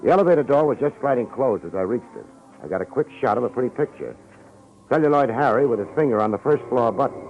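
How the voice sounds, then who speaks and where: A man's voice: medium pitch, lively intonation, quick pace, close to an everyday voice. A man playing a wry, tough private detective in an old-time radio drama, with sardonic, slangy delivery. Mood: wry narration. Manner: sardonic, slangy, hard-boiled. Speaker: private investigator narrator. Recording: muffled vintage radio recording with music.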